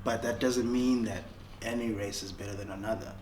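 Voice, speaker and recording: A man's voice: lowish voice, a little fast, far from the mic. A young man born in Senegal chats loosely in an interview, joking and easygoing. He sits back from the mic in a roomy space, so his voice carries some echo. There is a faint hiss behind him. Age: 20s. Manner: joking, casual, animated. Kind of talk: casual documentary interview.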